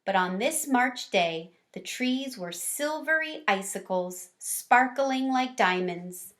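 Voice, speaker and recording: A woman reading a children's storybook aloud in an expressive, animated way. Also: a little high, takes it slowly. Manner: animated, with varied character lines. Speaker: read-aloud teacher or storyteller.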